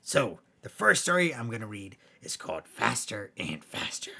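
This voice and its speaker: A man voicing Cerberus, a gruff, grumbling hellhound who hosts bedtime stories, with a gravelly, raspy voice. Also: on the low side, sing-song, normal speed, bright, forceful tone, heavily acted. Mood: storytelling. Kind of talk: scripted skit banter and horror storytelling.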